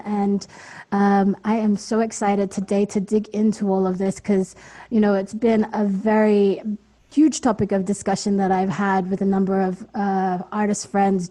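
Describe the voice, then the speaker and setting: A woman's voice: medium pitch, dark tone. A woman raised in Australia, moderating an online music industry panel in a casual way with frequent ums. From Philippines, raised in Australia. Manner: casual, hesitant with many ums, introduces and hands off to guests.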